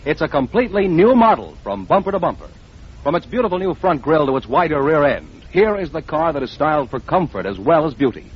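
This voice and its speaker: A man's voice: a little high, big pitch swings, quick pace, husky. A man announcing on vintage radio, reading scripted copy in a polished mid-century advertising style. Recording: dull vintage radio recording.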